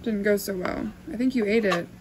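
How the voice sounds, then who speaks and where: A woman's voice: mid-range voice, brisk, background noise. A young woman vlogging casually in a small wooden cabin, chatty and self-reflective, often laughing as she talks. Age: young adult. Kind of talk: casual vlog chat.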